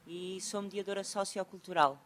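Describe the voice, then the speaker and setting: A woman from Portugal with a Portuguese accent, speaking at a conference, halting at times as she looks for words. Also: medium pitch, fairly even intonation, a bit bright, slight background noise. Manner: halting, pausing to find words.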